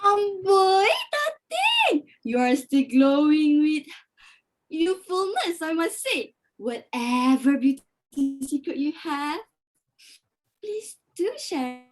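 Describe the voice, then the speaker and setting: A woman playing a gushing, flattering society lady in a dramatic reading, effusive and exclaiming. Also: sits high, slow, full character voice, poor audio. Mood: gushing flattery.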